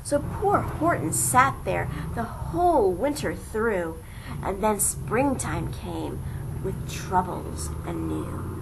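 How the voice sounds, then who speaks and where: A woman reading a children's story aloud as an amateur, with dramatic, expressive narration. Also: medium pitch, slow pace, gravelly. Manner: dramatic, lingering on repeated phrases. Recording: noisy amateur home recording.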